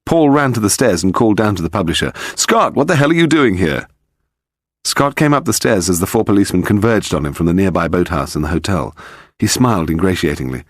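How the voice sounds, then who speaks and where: A man with a low voice narrates a scripted crime story. His intonation is expressive and varied, and the recording is close and dry. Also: fast, no room sound. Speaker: audiobook narrator.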